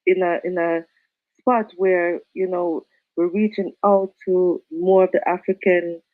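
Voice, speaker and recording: A woman's voice: fairly low pitch, fairly even intonation, unhurried, dry recording. Woman singer on a dull call line. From Canada and Jamaica. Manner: warm, chatty, many "you know" fillers, Jamaican phrasing. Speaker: reggae singer, guest. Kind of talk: casual interview chat.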